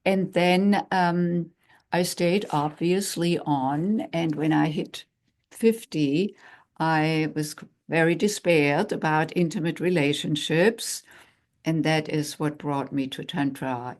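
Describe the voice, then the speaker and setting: An older woman with a German accent, co-hosting a tantra workshop in a measured, pausing way. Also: low-pitched, a little mellow. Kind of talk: casual workshop talk.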